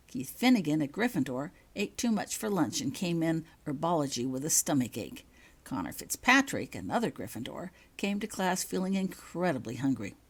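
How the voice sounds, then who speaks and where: A woman reading her own written fiction aloud as audiobook-style narration. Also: sits low.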